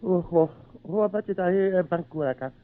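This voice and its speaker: A man's voice: high voice, fairly quick, lightly acted. An actor playing a frightened escaped captive man in an old radio drama, babbling agitatedly in broken phrases. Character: frightened fleeing island native man, pleading. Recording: tinny narrow old radio sound.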